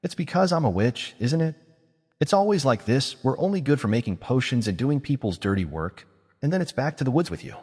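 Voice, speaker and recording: A man's voice: medium pitch, big pitch swings, fairly quick, a little mellow, slightly rough, in a large hall, pitched above the performer's own voice. A man voicing a vain, playful witch woman who has been made young again, teasing and self-satisfied. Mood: mock-aggrieved.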